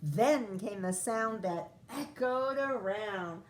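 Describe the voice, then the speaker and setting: A woman teacher reads a picture book aloud for her first graders, taking it slowly. She stops often to ask the children questions and explain new words. A faint hum of room noise sits under her voice. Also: mid-range voice, expressive. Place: classroom or home, recorded for video.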